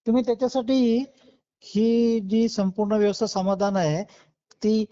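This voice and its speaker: A woman's voice: on the high side, fairly even intonation, measured pace, warm tone. A woman questioning and challenging someone persistently in an informal debate over the phone. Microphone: recorded phone call. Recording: dull, dry lo-fi call recording.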